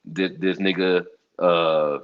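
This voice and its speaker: A man hosting a casual interview, asking probing, slangy questions in a measured way. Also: deep voice, little pitch movement, clear, resonant tone. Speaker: interview host. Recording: muffled lo-fi audio.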